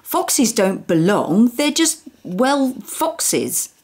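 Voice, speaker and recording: A woman's voice: fairly high pitch, big pitch swings, very slow, a little mellow, breathy edge, pitched above the performer's own voice, heavily acted. A woman voicing a laid-back father with a dry, offhand manner in an audiobook. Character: laid-back dad who enjoys a joke.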